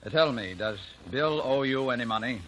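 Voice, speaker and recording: A man's voice: medium pitch, normal speed, a bit bright, natural voice. An actor playing a proud, stern middle-aged American father, formal and authoritative, in 1950s radio drama style. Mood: businesslike. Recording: noisy vintage radio recording.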